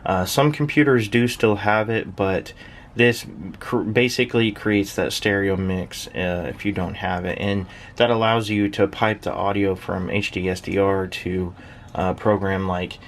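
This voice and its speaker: A man's voice: lowish voice, steady tone, a little slow, a little hiss or hum. A man giving a casual, unscripted hobby demonstration, rambling and hesitant as he thinks aloud. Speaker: hobbyist shortwave listener presenting own station. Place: small uninsulated shed with workbench.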